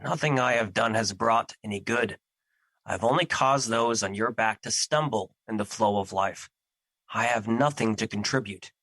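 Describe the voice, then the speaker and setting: A personified emotion in an audio drama, acted by a man, with a lowish, bright voice. He is humble and full of self-doubt, speaking in formal, solemn phrases. The recording is close and dry. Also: normal speed, no echo, rough recording. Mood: remorseful. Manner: formal, solemn, earnest diction. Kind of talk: theatrical audio drama acting.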